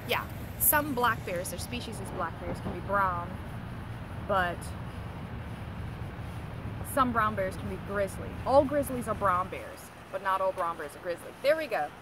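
A woman's voice: mid-range voice, expressive, a little slow, pauses often. Chatty woman vlogging over store noise. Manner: chatty, wry price commentary. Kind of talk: casual shopping vlog. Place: outdoor parking lot, then inside a store.

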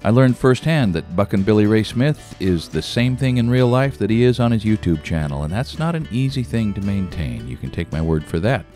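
Older man from Oregon, measured and reflective. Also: on the low side, big pitch swings, mellow, soft background music. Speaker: host. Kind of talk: casual chat and voice-over narration. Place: indoor wooden workshop.

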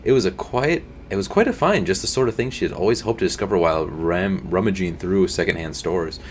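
A young man reading a scary story aloud at home, tripping over words now and then and adding quiet asides. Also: sits low, expressive, a little fast, a little hiss or hum. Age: young adult. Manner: reads with slips and restarts, drops to quiet asides. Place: home streaming room, indoors.

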